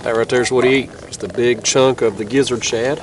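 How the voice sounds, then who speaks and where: A laid-back young man with a stuffy, nasal voice, talking easily in a relaxed drawl. He sits a little back from the camera mic outdoors at night, with a faint hiss of river ambience behind him. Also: medium pitch, a little fast, recorded from a distance. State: nasal from a cold. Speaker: fishing vlogger. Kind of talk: casual outdoor vlog narration.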